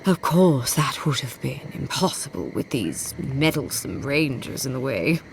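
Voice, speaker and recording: A woman playing a smug, zealous inquisitor's agent in a fantasy game, speaking in a measured, formal and condescending way with courteous menace. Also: very deep voice, very animated, creaky, background noise, heavily acted. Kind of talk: scripted fantasy game dialogue.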